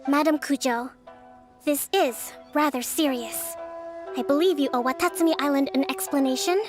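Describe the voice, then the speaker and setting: A woman voicing a poised young leader and strategist in a video game, composed, diplomatic and formal, gently shrewd. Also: sits high, normal speed, music in the background, lightly acted. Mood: calm, assured.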